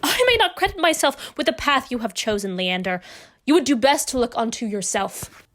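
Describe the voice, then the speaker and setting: Woman acting a dignified, higher-voiced wronged wife. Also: very animated, a bit bright. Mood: firm, cool. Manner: measured, formal period diction. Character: dignified wronged wife, composed and quietly resolute. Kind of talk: stage-play acting in an audio drama.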